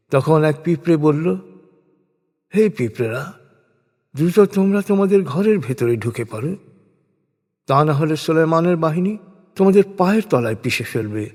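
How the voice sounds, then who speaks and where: A man narrates scripture in a solemn, scripted style, his voice set a little high. He moves slowly and deliberately, phrase by phrase. A long, hall-like reverb trails each segment. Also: darkish tone.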